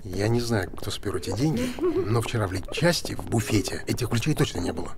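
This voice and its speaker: An actor playing a composed, analytical middle-aged theatre director in a naturalistic screen drama. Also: male voice, fairly low pitch, huge pitch swings, brisk, background noise.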